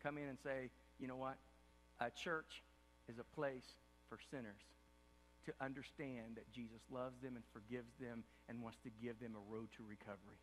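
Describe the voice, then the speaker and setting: An older man preaching a sermon as pastor from a church stage, impassioned, repeating himself and swinging from loud to hushed. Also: mid-range voice, fairly even intonation, normal speed, a little hiss or hum. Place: large dark church stage before an audience. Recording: long hall reverb of a big room.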